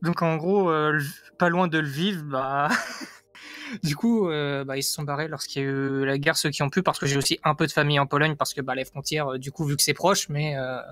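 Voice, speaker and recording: Higher-pitched man from France, insistent. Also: fairly even intonation, moderate pace, fairly bright tone, no echo. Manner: insistent, emphatic, repeats himself. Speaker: guest giving an opinion. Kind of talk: casual debate, explaining.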